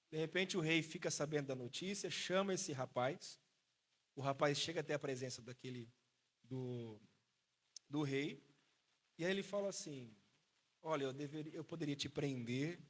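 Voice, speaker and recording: A man's voice: a little high, a bit bright. A man leading a Bible study, explaining scripture with rhetorical questions and retelling a parable. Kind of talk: Bible study teaching with storytelling.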